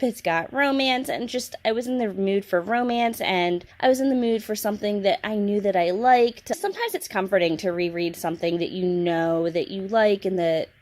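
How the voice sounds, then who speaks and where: A woman talking casually and enthusiastically to viewers as a book vlogger, stressing key words with emphasis. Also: medium pitch. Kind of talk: casual monthly reading wrap-up to camera.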